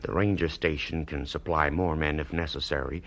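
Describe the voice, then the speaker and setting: Very deep-voiced, shrewd investigator. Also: male voice, fairly even intonation, moderate pace, gravelly, a bit bright, heavily acted. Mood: brisk, commanding. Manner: clipped, businesslike, authoritative. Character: cool, shrewd government investigator in command. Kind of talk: 1970s radio drama acting.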